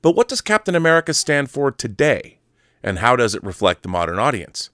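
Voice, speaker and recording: A man's voice: mid-range voice, sing-song, brisk, a bit bright, dry recording, studio quality. A man hosting a solo podcast, talking casually and reading aloud with a theatrical, wordy showman's manner. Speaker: podcast host.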